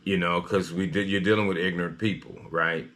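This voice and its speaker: Male news commentator, low-pitched, slightly halting read, personal opinion style. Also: steady tone, a bit bright.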